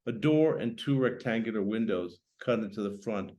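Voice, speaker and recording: A man's voice: fairly low pitch, normal speed, dark tone, highs cut off. An older man, a writer introducing and reading from his own novel as a guest.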